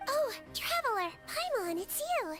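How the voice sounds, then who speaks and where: A woman voicing a small, gentle, composed nurse creature in a video game, with a soft girlish voice and a polite, reassuring manner. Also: very high voice, sing-song, unhurried, over music, exaggerated voice. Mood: cheerful. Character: small gentle Melusine head nurse, childlike, caring, composed.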